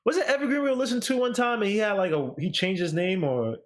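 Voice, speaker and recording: A man's voice: high voice, fast talker. A man giving music opinions in casual chat, hedging and hesitant as he talks. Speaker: music reviewer on a panel.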